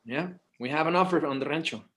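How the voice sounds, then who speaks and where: A man's voice: fairly high pitch, fast, pushed and bright. A man acting a casual, hesitant adult son in a conversational screenplay table read. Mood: hesitant, placating.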